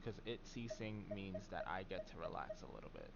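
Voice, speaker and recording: A man streaming a game live, chatting in a very soft, mild voice with plenty of ums and trailing words. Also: sits low, animated, moderate pace, vocal fry, muffled recording, a crowd in the background. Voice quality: very soft voice that does not carry.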